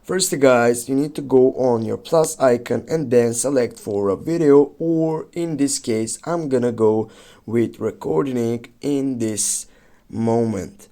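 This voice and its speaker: A man's voice: mid-range voice, slow, dark tone. A man hosting a how-to tutorial, giving simple step-by-step instructions in casual, non-native phrasing.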